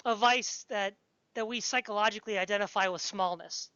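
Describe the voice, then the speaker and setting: A woman's voice: mid-range voice, a little fast, a bit bright, clear, resonant tone, dry recording. A woman hosting a casual podcast interview in an informal, enthusiastic way. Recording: dry, dull lo-fi audio.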